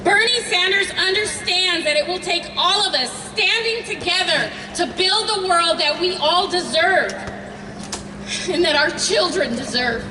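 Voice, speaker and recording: A middle-aged woman from Honduras giving a slow, emphatic rally speech to introduce the main speaker. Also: extremely high pitch, very noisy. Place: outdoor rally before a large crowd. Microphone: PA microphone recorded from afar. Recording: distant echoey PA over loud crowd.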